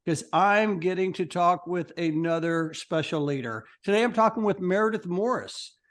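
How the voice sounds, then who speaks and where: A man's voice: sits high, normal speed. A man hosting an interview podcast, enthusiastic and chatty, with frequent fillers and restarts. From Texas. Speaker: podcast host.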